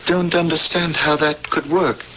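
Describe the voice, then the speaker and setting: A man's voice: on the high side, steady tone, unhurried, sharp tone, dull audio. An actor playing an earnest, resigned male alien prisoner in a 1970s radio drama, on an old hissy recording.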